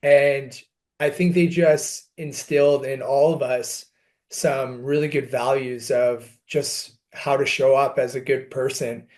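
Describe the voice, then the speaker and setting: A man's voice: mid-range voice, steady tone, slow, a little mellow. A man with a Canadian accent, talking earnestly and reflectively as an interview guest. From Montreal, Canada. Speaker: podcast guest. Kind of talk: interview conversation, personal storytelling.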